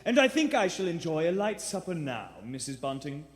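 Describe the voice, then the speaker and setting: A man playing a secretive, pious and stern gentleman lodger in a live radio play, formal and theatrical. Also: fairly high pitch, very animated. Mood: formal, businesslike. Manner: slow, formal, theatrical, clipped orders. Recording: echoey theater stage recording.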